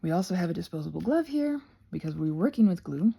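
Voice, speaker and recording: A woman with a lively, swooping delivery and a slightly husky, creaky edge hosts a casual craft tutorial. She rambles chattily through her steps, drifting into playful, self-deprecating asides. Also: lowish voice, fast talker.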